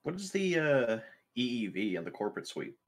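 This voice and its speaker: A man's voice: mid-range voice, big pitch swings, brisk. A man chatting casually as a player in a tabletop roleplaying game. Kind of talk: casual gaming chat.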